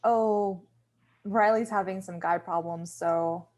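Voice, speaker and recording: A woman's voice: medium pitch, steady tone, slow pace, darkish tone, natural voice. A woman playing a hesitant, defensive young woman in a screenplay table read, with a tentative, questioning manner. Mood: careful.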